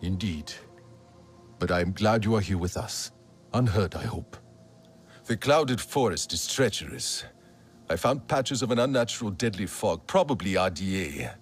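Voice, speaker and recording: An actor playing a grave, vengeful male alien warrior in a video game, speaking in a formal, solemn and deliberate way. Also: sits low, animated, soft background music. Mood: solemn concern. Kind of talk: video game character acting.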